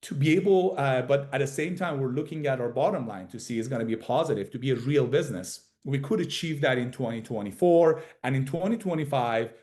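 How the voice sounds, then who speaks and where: Guy exec doing an earnings call, medium pitch, kinda halting, keeps repeating and fixing his words. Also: darkish tone. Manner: halting, frequent repeats and self-corrections. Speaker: company executive. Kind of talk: investor earnings call presentation.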